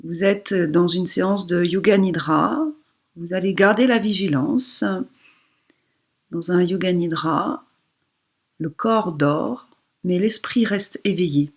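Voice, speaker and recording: Very slow, breathy woman guiding relaxation. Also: fairly low pitch. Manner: soothing, unhurried instructions with pauses. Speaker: yoga instructor. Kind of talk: guided relaxation meditation.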